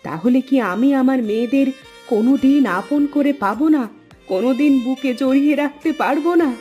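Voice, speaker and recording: An anxious, longing cartoon mother, played by a woman, speaks in a soft-toned, slightly high voice. She sounds urgent and emotional over plucked-string music. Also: fairly quick, highs cut off, lightly acted. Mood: distraught. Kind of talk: Bengali cartoon voice acting. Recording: guitar music under the voice.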